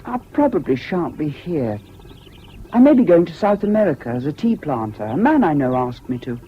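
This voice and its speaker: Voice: mid-range voice, sing-song, normal speed, breathy edge. An actor playing an earnest, politely self-deprecating, eccentric English schoolboy with a public-school accent in a radio drama. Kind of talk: 1970s BBC radio drama acting. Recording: muffled, hissy old radio broadcast.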